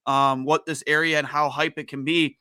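Bright-voiced man talking hockey, very dry sound. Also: on the high side, little pitch movement, dry recording. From Western New York, United States. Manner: halting, repeats words as he builds a point. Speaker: sports podcast co-host. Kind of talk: casual hockey chat.

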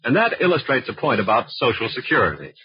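A male 1940s radio announcer with a persuasive, emphatic sales delivery. His very husky voice moves in animated swoops, on a dull old recording. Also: mid-range voice, fast. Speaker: announcer and insurance pitchman. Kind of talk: scripted 1940s radio advertising. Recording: muffled vintage radio recording.

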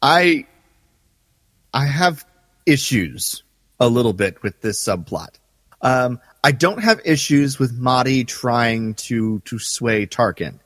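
A man's voice: mid-range voice, animated, slow, a bit bright, dry recording, in a large hall. Emphatic male podcast co-host, dry close sound. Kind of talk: casual discussion and commentary.

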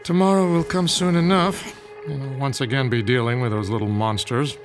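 An actor playing a weary, dignified elderly medical dean in a radio drama. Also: male voice, mid-range voice, steady tone, moderate pace, soft background music, natural voice. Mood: weary fond.

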